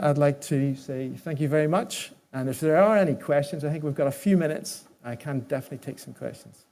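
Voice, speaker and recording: A man giving a conference presentation as an executive, speaking haltingly and often restarting his words and phrases. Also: a little high, darkish tone. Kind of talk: conference presentation with audience questions.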